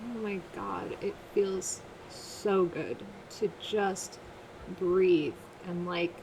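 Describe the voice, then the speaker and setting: A fragile, open-hearted woman in an audio drama. She talks very slowly and haltingly, in a natural way. There is clear ambient noise around her. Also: medium pitch. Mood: weary, emotional. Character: emotionally open, fragile woman in self-help group. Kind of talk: naturalistic audio fiction acting.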